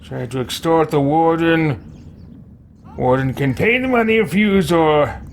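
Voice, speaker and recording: A man's voice: on the high side, unhurried, a little hiss or hum. A man streaming a video game, musing and reading a guide aloud in a theatrical let's play style. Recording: game music behind the voice. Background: video game music.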